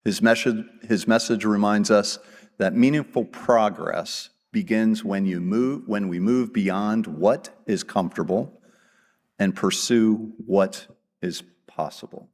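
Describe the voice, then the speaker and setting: A man with a fairly low voice reads a formal prepared speech from a convention stage. He speaks in a measured, scripted way. The big hall gives his voice a long, lingering reverb. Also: a little mellow. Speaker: association executive introducing the keynote.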